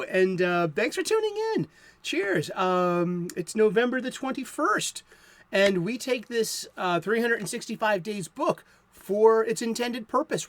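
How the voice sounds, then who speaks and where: A man in his fifties with a light Boston accent talks with a raised, fairly high pitch. He rambles cheerfully and enthusiastically to his viewers. The sound is dry and close. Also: a bit bright, dry recording. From South Boston, USA. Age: 50s. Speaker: comic book show host. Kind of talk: casual chat to the viewer.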